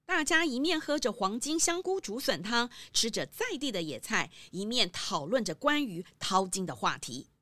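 A woman's voice: on the high side, sing-song, moderate pace, few pauses, sharp tone, dry recording. A woman narrating a scripted children's mystery story as a storyteller. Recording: music under the narration.

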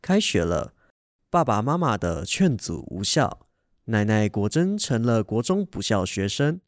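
A man's voice: lowish voice, sing-song, unhurried, no echo. A man narrating a family radio drama in a scripted storytelling style.

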